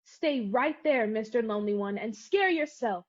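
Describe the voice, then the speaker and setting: A high-voiced, headstrong young woman, played by an actress in an old-style radio drama. She is firm and scornful, and the sound is slightly muffled. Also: expressive, moderate pace. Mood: scornful anger. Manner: firm, commanding. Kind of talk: 1940s radio-play acting.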